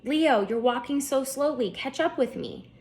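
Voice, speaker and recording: A woman voicing an excitable, curious young schoolgirl in a storybook, eager and earnest. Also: sits high, moderate pace, voice pushed up. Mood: impatient. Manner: eager, wide-eyed, earnest.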